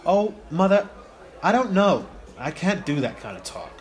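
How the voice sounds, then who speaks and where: Man acting an awkward, earnest college-age son, animated pitch. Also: measured pace. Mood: uneasy. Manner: hesitant, plain-spoken, apologetic. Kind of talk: amateur radio play acting.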